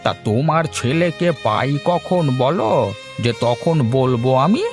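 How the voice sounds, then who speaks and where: Cartoon role: put-upon village father, middle-aged, jobless, resigned played by a man, soft music bed. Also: on the high side, animated, unhurried. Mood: defensive. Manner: plaintive, resigned. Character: poor, jobless, weary village husband and father. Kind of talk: Bengali cartoon drama dubbing.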